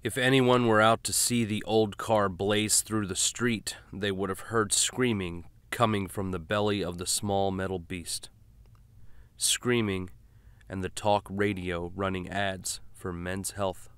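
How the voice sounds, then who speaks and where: A man's voice: sits low, fairly even intonation, a little slow, fairly bright tone, smooth, no room sound. A man reading scripted fiction as an audiobook narrator.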